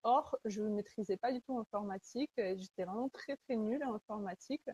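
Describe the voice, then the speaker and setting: A woman's voice: mid-range voice, fairly quick, dark tone, breathy edge, dry recording, muffled recording. A woman, hosting a webinar in an earnest, conversational way and often searching for her words. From Kazakhstan. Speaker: webinar host, Salesforce consultant. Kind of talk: webinar presentation.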